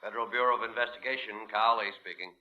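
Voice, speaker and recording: An actor playing a businesslike, probing federal agent on the telephone in a 1950s radio drama. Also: male voice, lowish voice, steady tone, quick pace, close to an everyday voice. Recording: muffled, noisy 1950s radio recording.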